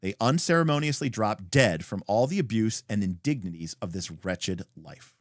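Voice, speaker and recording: A man with a fairly low voice reads rules text aloud as a host. His intonation swings widely, with emphatic, deliberate phrasing and short explanatory asides. The sound is dry, with very little room in it. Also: lively intonation, no echo. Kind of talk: reading a game rulebook aloud with brief asides.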